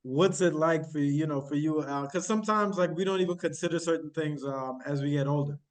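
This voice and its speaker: Man, podcast host, slightly high voice, casual, rambling questions. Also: a little fast. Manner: loose, rambling questions with many fillers. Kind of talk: casual interview hosting.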